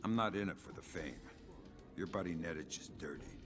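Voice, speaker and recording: A man playing a gruff, weary covert operative in a video game, terse and deadpan, with a gravelly voice. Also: sits low, a little fast, music in the background. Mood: dry, terse. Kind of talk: video game cutscene acting.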